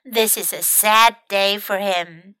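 A woman voicing a calm, observant boy in a children's storybook, speaking in a measured, matter-of-fact way. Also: mid-range voice, smooth, dry recording, pitched below the performer's own voice, poor audio. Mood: resigned, sorry.

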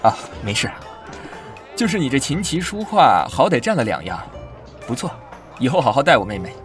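Casting: well-meaning brother-in-law, costume radio play, male actor, mid-pitched, very varied intonation, slightly hesitant. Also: big pitch swings, normal speed, soft background music, lightly acted. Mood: earnest, hesitant. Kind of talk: Chinese costume audio drama acting.

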